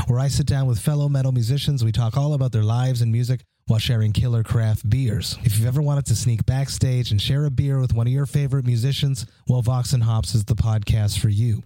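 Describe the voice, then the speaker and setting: A man's voice: mid-range voice, mellow, no room sound. A man reading an upbeat promo for his own podcast in a friendly, enthusiastic way.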